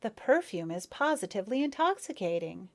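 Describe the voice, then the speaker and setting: A woman's voice: mid-range voice, sing-song, brisk. A woman voicing a shy, eager young female bee who speaks with admiring politeness. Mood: shy delight.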